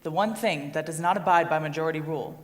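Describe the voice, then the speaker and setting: A woman on stage playing a composed jury foreperson, speaking in a formal, measured, declarative way. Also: low-pitched, natural voice. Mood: solemn. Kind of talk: formal stage play recitation. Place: live stage performance in a hall. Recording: echoey hall, long reverb.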